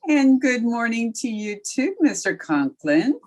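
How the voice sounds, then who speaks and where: An actress playing a wry, wisecracking high school teacher in an old-time radio comedy, with dry, sardonic delivery. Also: female voice, very animated, unhurried, darkish tone. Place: remote recording over video call.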